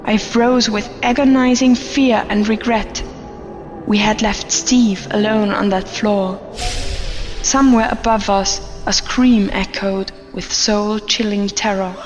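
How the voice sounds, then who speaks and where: Woman playing scared haunted office worker telling a horror story, grave and slow, muffled recording w music behind. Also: mid-range voice, fairly even intonation, natural voice. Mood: haunted dread. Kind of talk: scripted dramatic audio horror narration. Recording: long reverb, dull sound, music behind.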